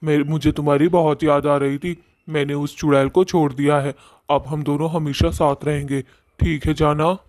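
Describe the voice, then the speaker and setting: Man acting a jilted cartoon lover, slightly high pitch, clingy, wheedling, insistent, dry recording. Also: level tone, normal speed, a little mellow, dry recording, lightly acted. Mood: wooing. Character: witch's clingy, pleading ghost ex-boyfriend. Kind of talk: Hindi cartoon story voice acting.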